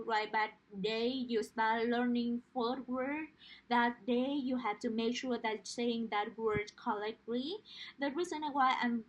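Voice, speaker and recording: Woman, Romanian accent, slightly high voice, earnest pronunciation teacher talking to camera. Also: highs cut off. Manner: earnest, explanatory, uses everyday analogies. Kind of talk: direct-to-camera teaching monologue.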